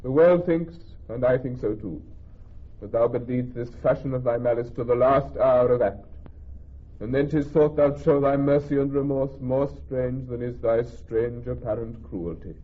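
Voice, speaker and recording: An actor playing a grave, authoritative ruler presiding over a court in Shakespearean radio drama, formal and measured. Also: male voice, medium pitch, lightly acted. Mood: stern appeal. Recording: thin, phone-like old radio recording.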